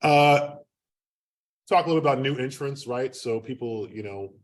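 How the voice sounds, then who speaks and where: A man giving an unscripted academic lecture in a loud, projecting voice, talking conversationally with lots of fillers and restarts. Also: medium pitch, moderate pace. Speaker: guest lecturer. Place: lecture room. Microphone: speaking away from the microphone. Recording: distant room mic.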